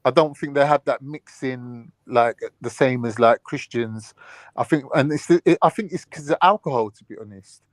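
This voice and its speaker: A man's voice: medium pitch, normal speed, no echo. A man chatting on a podcast panel, rambling and filling his talk with "like" and "you know what I mean". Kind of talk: casual debate chat.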